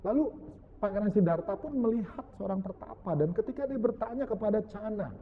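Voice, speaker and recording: A man giving a Buddhist dharma talk, lively, often asking his listeners questions and slipping in English phrases. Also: high voice, fairly quick, slight background noise. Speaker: Buddhist teacher. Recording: tinny phone-like sound, long hall reverb.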